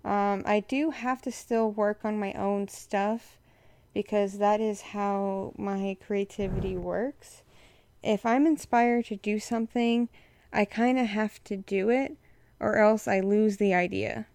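Young woman, froggy hoarse voice, gravelly fry, smooth underneath, rambly chatty update. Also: medium pitch, fairly even intonation, slow, a little mellow, no room sound. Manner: rambling, frank, many fillers. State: hoarse, froggy from a respiratory infection. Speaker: content creator addressing patrons. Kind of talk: casual update to supporters.

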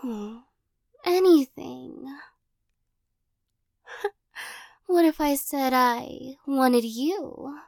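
A young woman voicing a possessive, teasing girl who corners the listener in an audio roleplay, giggling and coaxing, often dropping into soft whispers. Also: high voice, drawn-out words, vocal fry, pauses often, dry recording, studio quality, full character voice.

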